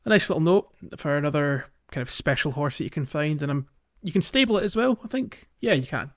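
A man's voice: fairly high pitch, animated, brisk. A man hosting a podcast, talking casually about a game and often circling back to correct himself as he goes. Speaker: podcast host. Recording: dull, lo-fi audio.

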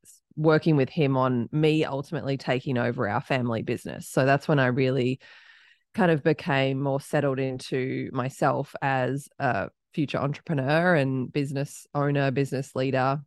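Aussie woman podcast guest, crisp smooth voice, really dry recording, just talking casually. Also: a bass voice, steady tone, moderate pace, no echo. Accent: Australian. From Australia. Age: 30s. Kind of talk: conversational interview answers.

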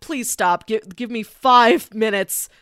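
A woman's voice: fairly high pitch, slow pace, fairly bright tone, clean tone, no echo, studio quality. A woman co-hosting a casual film discussion podcast, analytical and emphatic as she makes her points. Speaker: podcast co-host reviewing a film.

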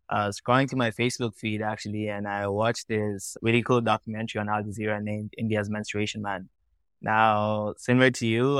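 A man's voice: fairly low pitch, fairly bright tone, clear, resonant tone, no echo. A young man from Tanzania, a founder talking casually as a podcast guest, often saying "like" and "you know". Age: young adult. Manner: casual, lots of likes and you-knows.

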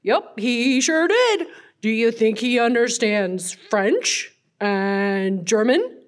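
Woman voicing a lively, patient boy puppet. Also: fairly high pitch, slow. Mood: patient, teaching. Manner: patient, questioning, instructive. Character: friendly young boy puppet, patient advice-giving ballplayer pal. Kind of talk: children's church puppet show acting.

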